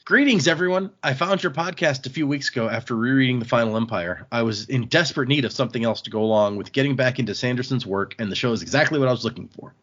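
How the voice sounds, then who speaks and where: A man's voice: medium pitch, quick pace, few pauses, fairly bright tone, muffled recording. A man hosting a podcast, chatting casually and reading listener emails aloud in an easygoing, agreeable manner.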